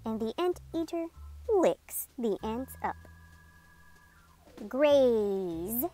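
A woman reading a picture book aloud to children, enunciating each word deliberately and sounding out vocabulary with animated emphasis. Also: on the high side. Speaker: read-aloud host for children.

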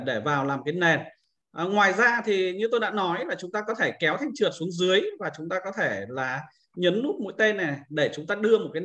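A man's voice: high voice, pushed and bright, highs cut off. A man narrating an informal step-by-step screen-share tutorial as an instructor, explaining and often adding short confirming tags.

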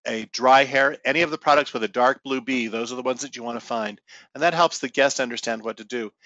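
A man's voice: medium pitch, a bit bright, no room sound. A man presenting products in a salon-business webinar, talking conversationally and correcting himself as he explains. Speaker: company presenter. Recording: dull lo-fi webinar audio.

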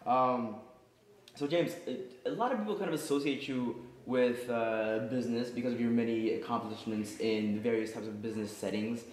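A man's voice: medium pitch, normal speed, darkish tone. Young male student host, casual and encouraging, mid-range voice in a reverberant room. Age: young adult. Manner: friendly, laid-back, lots of affirming murmurs. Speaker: interview host. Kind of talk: student interview show. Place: wood-paneled room by a fireplace, indoors. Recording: roomy room sound.

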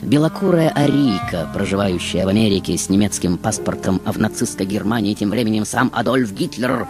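A man playing a glamorous, vain, seductive female film star in a theatrical radio monologue, coquettish and full of dramatic pauses. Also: fairly low pitch, lively intonation. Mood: grandly boastful. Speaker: radio show host. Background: music behind the voice.

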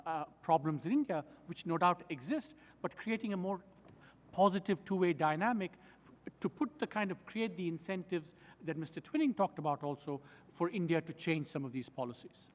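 Male witness, 40s, halting delivery with restarts, lighter pitch, long reverb. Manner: hesitant, many fillers and restarts, animated hands. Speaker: witness at a hearing. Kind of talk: testimony. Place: large wood-panelled hearing room. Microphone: desk microphone. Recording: long reverb of a large hearing room.

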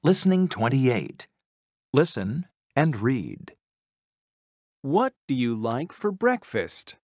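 Male exercise narrator, unhurried, scripted, instructional, expressive pitch movement, dry sound. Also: no echo. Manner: measured, instructional. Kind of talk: scripted textbook audio announcements.

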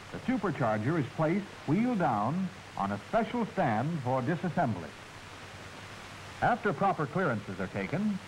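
Hissy 1940s American male instructional narrator. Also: on the high side. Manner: formal, clearly enunciated, instructive. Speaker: training film narrator. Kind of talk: scripted instructional narration, 1940s military film. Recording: hissy, noisy 1940s film soundtrack.

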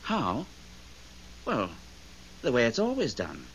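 A man's voice: medium pitch, huge pitch swings, slow pace, creaky, a bit bright, full character voice. Sardonic, lilting male ghost. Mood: sardonic, weary. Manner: urbane, dry, world-weary. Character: jaded, sardonic male ghost, long dead. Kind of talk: 1970s radio drama acting. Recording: muffled, hissy old radio recording.

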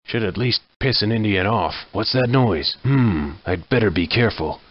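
An actor voicing a gruff, sardonic gunslinger sheriff for a video game, with terse, wry tough-guy one-liners in a husky voice. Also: lowish voice, normal speed, dull audio, lightly acted. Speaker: voice actor for a game mod protagonist.

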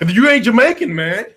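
An American man in his thirties hosting a casual gaming podcast with informal slang and animated banter. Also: very high voice, slight background noise. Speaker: podcast host. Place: small game room at home, indoors.

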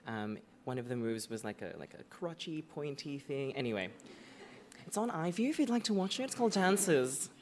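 A man's voice: fairly high pitch, expressive, moderate pace, a little mellow. A man with a cough speaks on a theatre stage with faint hiss behind him. He rambles in a chatty, self-deprecating way. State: has a cough. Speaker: panelist, screen representation expert. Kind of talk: casual panel talk. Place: theatre stage before an audience.

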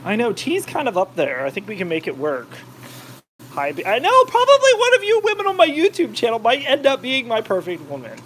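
A man's voice: very high-pitched, very animated, a little fast, fairly bright tone, a little hiss or hum, poor audio. A man rambling and joking with his live chat while cooking in his home kitchen. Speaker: cooking vlogger. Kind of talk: casual cooking livestream chat.

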